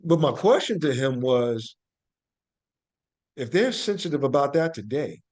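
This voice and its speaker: A man speaking as an interview guest, telling stories conversationally in deliberate, emphatic short phrases. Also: medium pitch, sing-song, a little mellow. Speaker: podcast guest, actor and playwright.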